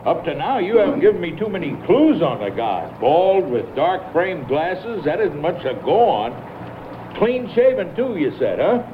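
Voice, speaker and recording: An actor playing a cagey, patronising killer in a radio mystery, casual and controlled with cool menace. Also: male voice, on the high side, big pitch swings, a little slow. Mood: evasive, wary. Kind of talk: radio mystery drama acting. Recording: tinny band-limited vintage radio, faint noise.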